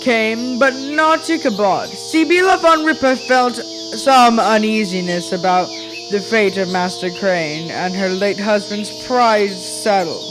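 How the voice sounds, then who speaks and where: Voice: mid-range voice, takes it slowly, music in the background, close to an everyday voice, poor audio. A young student narrating a ghostly tale for a school radio play in a formal, dramatic storytelling manner. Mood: measured. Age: child or young teen.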